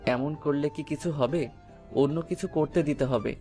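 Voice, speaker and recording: A man's voice: a little high, measured pace, warm tone. A man playing a coy, mischievous newlywed husband in a romantic audio story. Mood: puzzled. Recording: music bed under the voice. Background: music.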